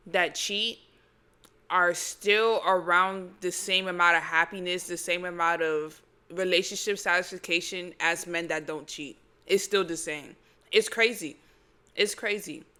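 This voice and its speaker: Young woman host, early 20s, bright clear tone, slangy casual chatter. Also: on the low side. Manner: chatty, slangy, thinks aloud with "like". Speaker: advice show host. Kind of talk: casual chat.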